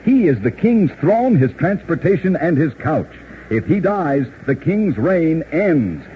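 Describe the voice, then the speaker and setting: A dull, noisy vintage radio recording carries a man telling curious tales. His voice sits slightly high and swings in pitch with dramatic emphasis. He reads as a scripted narrator, stressing each point. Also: sing-song, a little slow.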